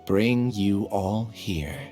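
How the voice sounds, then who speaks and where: An actor playing a subdued, earnest male researcher and outcast in a video game, speaking in a resigned, measured way. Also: on the low side, mellow, soft background music.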